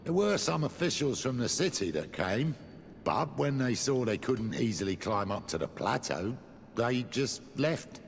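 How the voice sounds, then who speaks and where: A man's voice: medium pitch, sing-song, measured pace, creaky. A cautious village miner in a fantasy game. He talks plainly and practically. The sound has a long reverb tail and faint ambient noise. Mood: obliging. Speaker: voice actor in a fantasy video game. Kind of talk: game dialogue.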